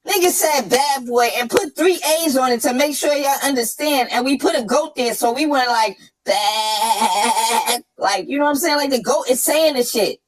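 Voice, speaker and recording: A man with a New York accent ranting and trash-talking through a pitch-shifted chipmunk voice effect, cocky and profane. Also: normal speed, a little hiss or hum, low-quality recording. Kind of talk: brash trash-talk rant.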